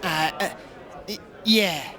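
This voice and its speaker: A woman playing a hard-boiled insurance investigator in an old-time radio detective drama, dry and measured. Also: fairly low pitch, a crowd in the background. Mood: puzzled.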